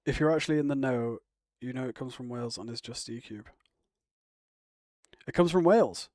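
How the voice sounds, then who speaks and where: A man's voice: mid-range voice, normal speed, a little mellow, dry recording, clean studio sound. A man chatting casually on a live stream, rambling and answering viewer comments. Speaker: live streamer reacting to chat. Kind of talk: casual chat.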